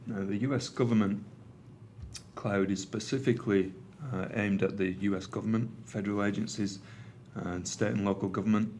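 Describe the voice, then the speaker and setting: A man's voice: lowish voice, fairly even intonation, normal speed, darkish tone, a little hiss or hum. Mancunian man giving a conference talk. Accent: Manchester. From England. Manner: conversational, with frequent ums and uhs. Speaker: presenter. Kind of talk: conference presentation. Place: meeting room with an audience.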